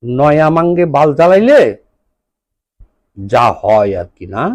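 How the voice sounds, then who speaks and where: A Bangladeshi man speaks as an impassioned political commentator in a high-pitched voice. His intonation swings widely as he scolds and drives points home with rhetorical questions. The recording is dry and close, with very little room sound. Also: big pitch swings, a little slow, darkish tone, dry recording.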